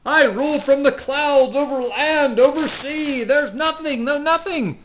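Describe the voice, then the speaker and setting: A storybook reader voicing a boastful, tyrannical male turtle king, pompous and given to grand shouted commands. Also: high voice, a little slow, slight background noise, pitched above the performer's own voice, poor audio. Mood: furious, commanding.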